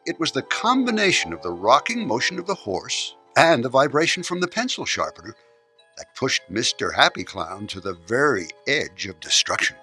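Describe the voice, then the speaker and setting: An actor playing a kindly, gently stern older man in a children's radio drama. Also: medium pitch, wildly swooping pitch, husky, faint music behind, heavily acted. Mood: knowing. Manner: measured, theatrical, gently admonishing.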